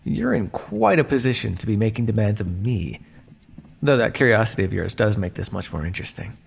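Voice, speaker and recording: Man playing a smug, gravelly vampire captor. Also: on the low side, big pitch swings, a little fast, a little hiss or hum. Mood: condescending, firm. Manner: theatrical, drawling, mock-polite, sardonic. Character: male vampire scientist captor, smug, teasing, coolly condescending. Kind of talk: ASMR roleplay monologue addressed to listener.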